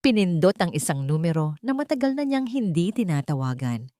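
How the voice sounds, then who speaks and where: A woman's voice: on the low side, big pitch swings, brisk, mellow, no echo, clean studio sound. A woman reading a scripted dramatic story as narrator.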